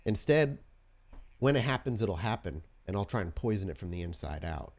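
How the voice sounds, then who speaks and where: A man voicing a shrewd, suspicious female vampire gang leader who bargains frankly and haltingly in a tabletop game. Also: lowish voice, big pitch swings, darkish tone. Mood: blunt, pragmatic.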